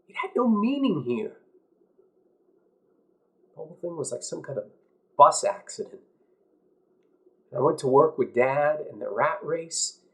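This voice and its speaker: Stage drama: male actor as young war veteran, higher-pitched, idealistic, guilt-ridden, intense, emphatic, emotional. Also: exaggerated voice, rough recording. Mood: guilty, earnest. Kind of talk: dramatic stage monologue audition.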